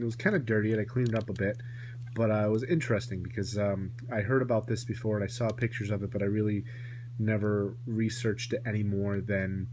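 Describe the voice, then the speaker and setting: Low-voiced man, rambling, with noisy hum. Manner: rambling, self-correcting asides. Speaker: toy collector reviewing a vintage toy. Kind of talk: casual hands-on video review. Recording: noisy home recording with electrical hum.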